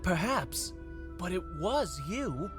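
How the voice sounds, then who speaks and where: A man's voice: high voice, big pitch swings, slow, music in the background, full character voice. A man playing an earnest, grateful and humbled army officer in a dramatic comic dub, sincere and reflective. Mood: earnest gratitude.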